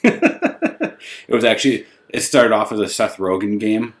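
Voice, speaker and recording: A man's voice: on the low side, big pitch swings, measured pace. A man hosting a podcast in a casual, jokey, self-deprecating chat with his friend. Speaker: podcast host.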